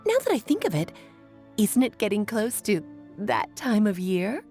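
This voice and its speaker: A woman's voice: medium pitch, sing-song, measured pace, darkish tone. An actress playing a breezy, gracious mother in a fantasy game, genteel and effusive, with music behind her. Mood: breezy farewell. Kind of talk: animated game voice acting.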